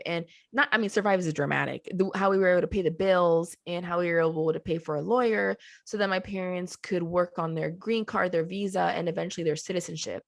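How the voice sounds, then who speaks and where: Woman, from Colombia, podcast guest, animated storyteller, lowish pitch, dry room. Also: no echo. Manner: animated, laughs easily, slows for emphasis. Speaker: podcast guest, business co-founder. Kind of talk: casual storytelling interview. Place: at home with a child nearby.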